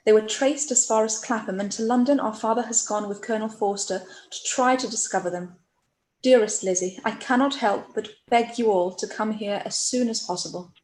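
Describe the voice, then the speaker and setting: A woman acting a gentle, anxious young gentlewoman in a period radio play, speaking earnestly, recorded in an echoey, noisy room. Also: fairly high pitch, normal speed, lightly acted. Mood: worried, earnest. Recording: echoey room with background noise.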